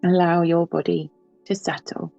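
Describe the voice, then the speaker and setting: A woman leading a guided yoga nidra relaxation, speaking softly and unhurriedly with long pauses. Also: fairly low pitch, level tone, vocal fry, no echo. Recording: slightly dull, with soft music behind.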